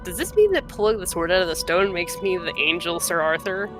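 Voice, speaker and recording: A woman's voice: fairly low pitch, expressive, a little fast. A woman playing through a video game, reading narration aloud with playful, dry asides, music playing behind her. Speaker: let's player.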